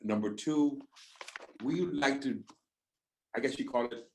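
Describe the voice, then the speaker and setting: A man speaking for a community organization on a panel, answering slowly and deliberately with pauses in the middle of his phrases. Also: mid-range voice, slight background noise, rough recording.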